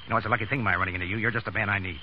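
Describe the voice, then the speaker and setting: A man's voice: fairly low pitch, fairly even intonation, very fast, lightly acted. An actor playing a brash, bossy, wisecracking man with clipped slang in a 1930s American radio drama. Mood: menacing, tough. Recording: dull, noisy 1930s radio recording.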